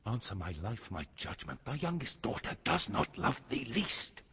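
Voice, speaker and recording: A man's voice: mid-range voice, very animated, moderate pace, gravelly, slightly rough, heavily acted. An actor playing a blunt, loyal nobleman, bold and earnest, declaiming in classical Shakespearean stage style. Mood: defiant. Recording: muffled hall reverb, faint music.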